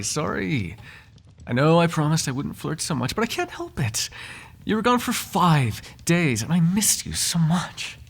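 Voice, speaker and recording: A man's voice: fairly high pitch, creaky, breathy edge, full character voice. A man playing a devoted, flirtatious butler in an intimate ASMR roleplay, speaking in formal, genteel phrases with playful teasing. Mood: playful, lovesick. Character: devoted, flirtatious, gossip-loving male butler to a noble.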